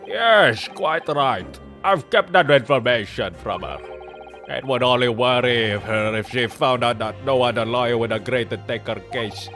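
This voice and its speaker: A man voicing a dignified middle-aged father and law scholar with a formal, measured, restrained manner. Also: medium pitch, a bit bright. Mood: grave, measured. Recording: music behind the voice.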